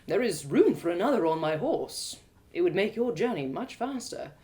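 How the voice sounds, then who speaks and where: A woman's voice: lowish voice, very animated, normal speed, dark tone, voice pushed down. A woman voicing a stern, suspicious male elf traveler who questions her closely in a formal, insistent manner. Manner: probing, formal, coolly insistent. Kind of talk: one-woman audio drama reading.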